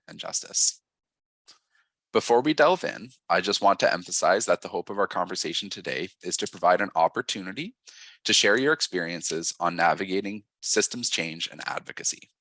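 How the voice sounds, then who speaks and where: A man's voice: deep voice, pushed and bright, no echo. A man moderating a panel discussion, reading the introduction hesitantly with many fillers. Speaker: panel moderator, policy researcher.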